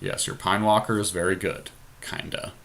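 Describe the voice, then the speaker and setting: A man's voice: low-pitched, steady tone, normal speed, creaky, a bit bright. A man hosting a podcast, thinking aloud through his options as he gives casual commentary on a card game he is playing.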